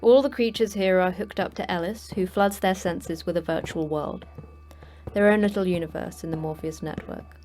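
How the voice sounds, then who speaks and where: An actress playing the terse, dry manager of a secret prison for monsters in a sci-fi horror audio drama, speaking in a clipped, matter-of-fact way. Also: female voice, fairly low pitch, moderate pace, darkish tone, a little hiss or hum, lightly acted. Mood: dry, grim.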